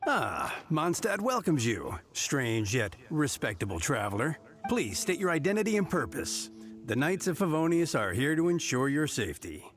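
A man's voice: fairly high pitch, very animated, moderate pace, soft background music. A man voicing an officious, rule-bound knight on gate duty in a video game, formal and stiff. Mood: official. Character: officious, rule-bound male knight guarding city gates. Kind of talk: scripted video game voice acting.

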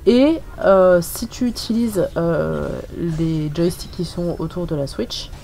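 A woman's voice: on the low side, takes it slowly, vocal fry, dark tone. French woman with a cold, rambling. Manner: rambling, many hesitations and fillers. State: has a cold, sniffly. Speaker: gaming channel host. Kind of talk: casual gameplay commentary. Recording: voice-over with faint game music.

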